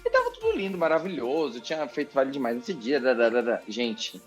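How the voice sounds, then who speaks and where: A man with a Brazilian accent, a TV journalist chatting as a podcast guest, telling a story in an animated, expressive way and repeating words for emphasis. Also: medium pitch, fairly quick, faint music behind. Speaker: TV journalist and presenter, interview guest. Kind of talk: casual podcast interview storytelling.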